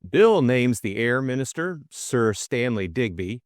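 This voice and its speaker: A man's voice: mid-range voice, sing-song, unhurried, no echo, clean studio sound. A man reading scripted story narration as an audiobook narrator.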